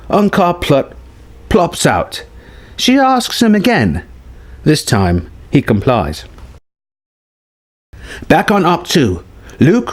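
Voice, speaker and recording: A man narrating a scripted story in a dramatic style. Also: on the high side. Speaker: narrator reading a film story treatment.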